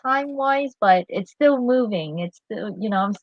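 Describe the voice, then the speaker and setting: A woman's voice: fairly high pitch, lively intonation, moderate pace, dry recording, highs cut off. A woman who grew up in Vietnam, a guest artist chatting casually on a livestream, giggly and hesitant with fillers.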